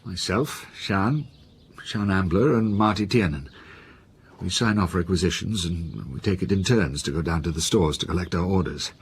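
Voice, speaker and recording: A man's voice: low-pitched, normal speed, a little hiss or hum. An actor playing an anxious, defensive university professor in a radio drama, hesitant and flustered as he protests. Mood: defensive, uneasy. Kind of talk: naturalistic radio drama acting.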